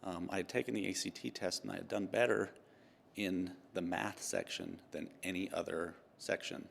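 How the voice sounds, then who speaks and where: Low gravelly man, dry humor. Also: steady tone, moderate pace, slight background noise. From Utah. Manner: dry self-deprecating humor, many ums and restarts. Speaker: tax partner and guest. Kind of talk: relaxed interview answers.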